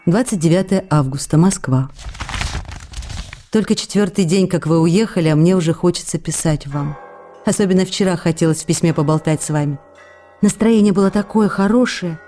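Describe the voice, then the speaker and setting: An actress playing a lively, affectionate young actress who reads her letters in a chatty, coquettish way. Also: on the low side, normal speed, soft background music, natural voice. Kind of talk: acted reading of letters in a radio play.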